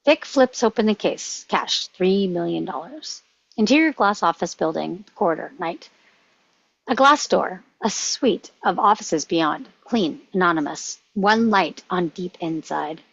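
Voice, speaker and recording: Gravelly woman reading screenplay directions. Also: on the low side, lively intonation, a little slow, dull audio. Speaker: stage-direction reader. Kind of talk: scripted narration at a screenplay table read.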